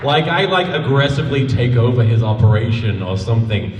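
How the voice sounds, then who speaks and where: A man's voice: mid-range voice, a little fast, noisy background. A man, a voice actor joking playfully in casual banter on a live convention panel. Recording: echoey hall, distant microphone.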